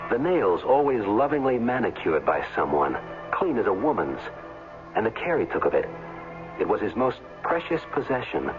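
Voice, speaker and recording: Hard-boiled radio-play hero and narrator: defiant, plain-spoken, lively swings in pitch, very dull vintage sound. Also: male voice, moderate pace, music in the background. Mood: tense, determined. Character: defiant retired football player, tough everyman narrator. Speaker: first-person narrator and lead. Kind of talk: 1970s radio drama acting. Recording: very muffled 1970s radio recording.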